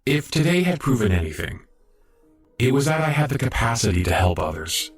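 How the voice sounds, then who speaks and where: A man's voice: mid-range voice, very animated, a little fast, faint music behind, lightly acted. A man playing an earnest, dedicated young robot medic in an audio drama, reflective and polite. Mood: hopeful, resolved. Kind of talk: audio drama narration and dialogue.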